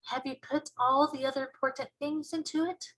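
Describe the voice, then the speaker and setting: Woman as a soft, high, polite little girl. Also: steady tone, moderate pace, low-quality recording. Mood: anxious, questioning. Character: small young girl, earnest, worried yet plucky.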